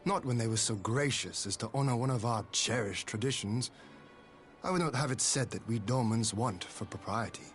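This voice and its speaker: An actor playing a proud, dignified lord in a video game, speaking in a formal and stately manner. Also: male voice, medium pitch, normal speed, faint music behind, natural voice. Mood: proud, resolute. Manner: stately, formal, archaic phrasing. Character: proud, dignified male lord, gracious and composed. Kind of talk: scripted game dialogue.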